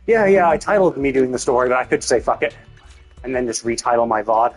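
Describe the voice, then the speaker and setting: A man livestreaming a game with casual, rambling commentary, thinking out loud, cutting himself off and swearing freely. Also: mid-range voice, expressive, quick pace, faint music behind. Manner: casually profane. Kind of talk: casual gameplay stream commentary.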